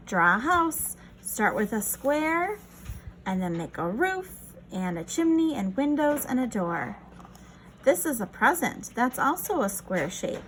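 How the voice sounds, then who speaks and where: Female children's read-aloud teacher: unhurried, lively pitch swings, encouraging and instructional, slight noise behind. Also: low-quality recording. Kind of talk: reading a picture book aloud to young children.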